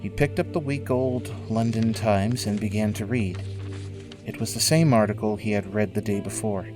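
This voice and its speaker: A man narrating a scripted dramatic story in a measured, solemn way, with music behind him. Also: fairly low pitch. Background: prominent music.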